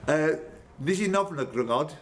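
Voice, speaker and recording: A man works as a quizmaster, his voice pitched a little high. He reads out questions and calls on players in an excitable, bantering way. The live pub recording carries some background noise. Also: measured pace. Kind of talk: live quiz hosting, reading questions and calling players by name. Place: pub room with players around.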